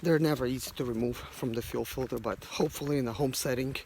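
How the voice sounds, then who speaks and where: A man in his thirties with a hoarse, failing voice, casually talking through a van repair outdoors into a handheld phone. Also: medium pitch, fast talker, darkish tone. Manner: thinking aloud while working, many fillers. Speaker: mobile mechanic. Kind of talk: casual how-to vlog. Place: outdoors in a rural yard by a van. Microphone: phone camera held close. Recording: outdoor phone audio with background noise.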